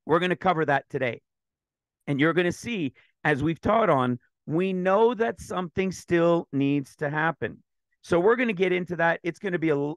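Man, Bible teacher, high voice, dry close sound, a touch raspy today, eager, talks in loose runs with restarts. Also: no echo, low-quality recording. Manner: excited, rambling with restarts. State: slightly raspy throat. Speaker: ministry teacher. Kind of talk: screen-share Bible teaching.

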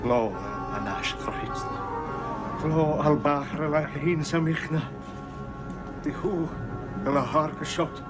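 A man's voice: fairly high pitch, slow, pauses often, over loud music. An actor playing a solemn man chanting an ancient ritual incantation in an adventure film.

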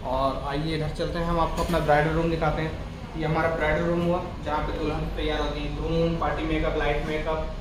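A man's voice: on the high side, little pitch movement, a little fast. A man, a hairstylist giving an informal promotional walkthrough and listing services item by item. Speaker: salon hairstylist and skin specialist. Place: indoor salon with hard tiled floor. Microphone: camera a few steps away. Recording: roomy, distant mic, noisy salon.

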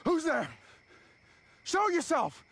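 Frantic high-pitched man, urgent. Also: very animated, slow pace, vocal fry, fairly bright tone, dry recording, full character voice. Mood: angry. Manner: urgent, exclamatory. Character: frantic, hot-tempered man fighting to survive. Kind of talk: video game cutscene acting.

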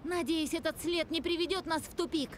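A woman voicing a bold, insistent young female pony in an animated children's dub. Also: very high voice, fairly quick, background noise. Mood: determined. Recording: music behind the voice.

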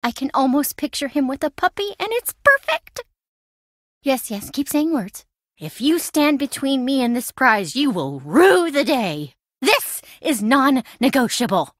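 Video game role: an insecure, boy-crazy young woman, dramatic, very high voice with big swings. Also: a little slow, no echo, studio quality. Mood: smitten, then edgy, demanding. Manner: dramatic, expressive, emotionally swinging.

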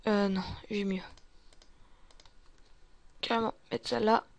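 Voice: mid-range voice, little pitch movement, slow pace, gravelly, no echo. A gamer narrating a zombie survival roleplay in character, muttering to themself and often dropping to a whisper. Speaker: gaming video creator playing a survivor.

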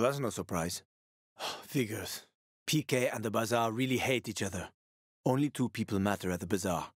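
A man's voice: lowish voice, dry recording, clean studio sound, natural voice. A man playing a streetwise, wisecracking middle-aged survivor and smuggler in a video game, talking in a sly, casual, teasing way. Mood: matter-of-fact. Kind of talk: video game voice acting, conversational.

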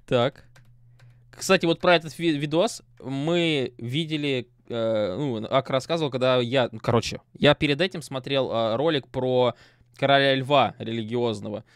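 A man's voice: mid-range voice, moderate pace, clear, resonant tone, no room sound, studio quality. A man in his thirties giving casual, exclamatory reaction commentary in coarse slang, in a home streaming room. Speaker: reaction video host. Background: music from the watched video.

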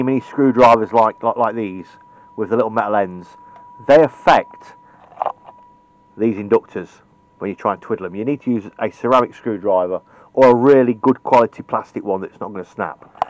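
A man's voice: mid-range voice, normal speed, darkish tone. Blunt British man, dull lo-fi sound. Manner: blunt, opinionated. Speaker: radio repair hobbyist presenting a tutorial. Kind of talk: informal hands-on tutorial. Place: indoors at a cluttered electronics workbench. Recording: dull lo-fi camera microphone.

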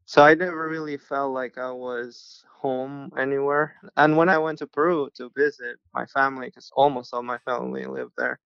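A young adult man from Mexico, a pastor, telling his life story in a relaxed podcast interview. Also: medium pitch, normal speed, vocal fry, few pauses, clear, resonant tone, no echo, dull audio.

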